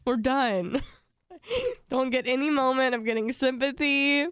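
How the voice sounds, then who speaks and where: A woman's voice: high voice, lively intonation, takes it slowly, fairly bright tone, clear, resonant tone, dry recording. A young woman co-hosting a podcast. She talks casually and rambles with lots of fillers, and she is sniffling from allergies. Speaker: podcast co-host. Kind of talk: casual chat.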